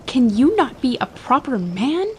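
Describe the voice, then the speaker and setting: A woman's voice: high voice, sing-song, measured pace. Actress as defiant young noble lady, impassioned, dignified, formal speech, audiobook drama, faint background noise. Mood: pleading. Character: proud, defiant young noblewoman pleading for her love. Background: ambience under the voice.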